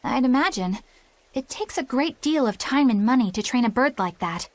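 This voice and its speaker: A woman's voice: on the high side, lively intonation, moderate pace, no room sound, natural voice. A woman voicing a polite, earnest young female exorcist with formal, courteous speech in a video game, over music. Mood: thoughtful.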